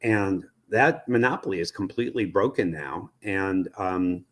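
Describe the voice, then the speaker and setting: Low-voiced American man explaining in an interview. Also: unhurried. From United States. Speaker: media research executive, interview guest.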